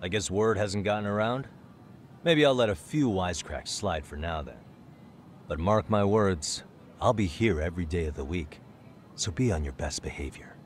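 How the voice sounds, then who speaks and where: An actor playing a calm, streetwise private detective in a video game dub, with a cool, probing, wry delivery. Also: male voice, sits low, normal speed, a little hiss or hum. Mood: cool, warning.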